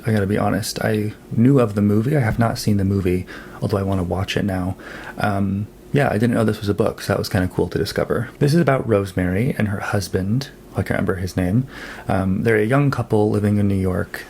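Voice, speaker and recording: A man casually talking through his monthly reading in a relaxed, chatty way, with frequent "um" and "like". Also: on the low side, gravelly, slight background noise. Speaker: book vlogger.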